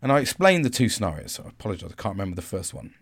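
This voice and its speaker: A man's voice: fairly low pitch, sing-song, rapid-fire. Candid, swearing man rambling. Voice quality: loud, assertive voice. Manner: rambling and candid, swears freely, frequent restarts. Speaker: vlogger sharing personal reflections. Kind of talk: casual reflective monologue.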